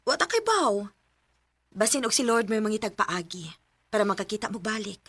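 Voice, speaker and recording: A woman playing a gentle, caring mother who coaxes and teases her son. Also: medium pitch, sing-song, normal speed, a little hiss or hum. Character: caring middle-aged mother. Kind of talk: amateur radio drama acting.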